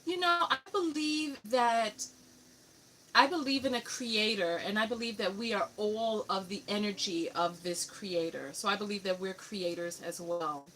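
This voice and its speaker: A woman's voice: medium pitch, a little slow, bright, forceful tone, slight background noise, low-quality recording. A woman from New York City with a New York accent, hosting a live coaching call and pressing a caller with firm questions. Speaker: radio show host and relationship coach.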